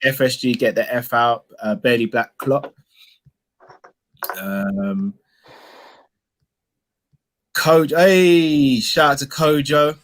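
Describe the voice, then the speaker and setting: Host, easygoing comment reader, mid-pitched, calm. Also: male voice, slow, long pauses, rough recording. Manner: laid-back, chuckling, conciliatory. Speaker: host reading viewer comments. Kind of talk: casual podcast chat, reading comments aloud.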